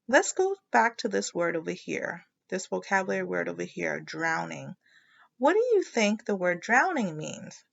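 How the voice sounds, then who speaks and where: A woman's voice: medium pitch, creaky, dull audio. A woman teacher leading a children's read-aloud lesson, explaining as she thinks aloud and prompting listeners with questions.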